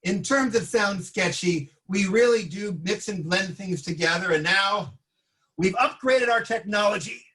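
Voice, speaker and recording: A man with a high, bright voice hosts an improv comedy show. He talks casually as he sets up the next sketch. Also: measured pace, poor audio. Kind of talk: casual, upbeat introduction of improv games.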